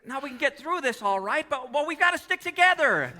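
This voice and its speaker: A man acts out an earnest young small-town loan man in a vintage radio drama. His voice is very bright and high, stammering and pleading in a folksy way. It carries through a reverberant hall. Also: sing-song, brisk, full character voice. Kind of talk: 1940s radio acting on stage. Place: theatre stage. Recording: echoey hall, live stage taping.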